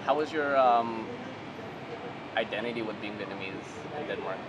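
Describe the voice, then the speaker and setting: A man's voice: lowish voice, normal speed. A man hosting a casual interview, asking questions in a curious, chatty way. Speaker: host interviewer. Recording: street recording with clear background noise.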